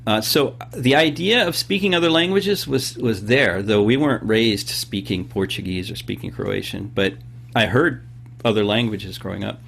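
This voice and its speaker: A man in his fifties from California, explaining things at length in a relaxed interview, with many pauses and fillers. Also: on the low side, gravelly, a little hiss or hum. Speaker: podcast guest and host of his own show. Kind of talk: casual interview talk.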